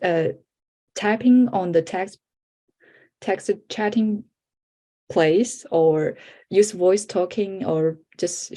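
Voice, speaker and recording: A woman's voice: lowish voice, dark tone. A woman presenting at an online workshop who grew up in China, a native Chinese speaker who speaks English hesitantly, with many restarts and fillers. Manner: halting, with frequent restarts and fillers. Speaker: conference presenter.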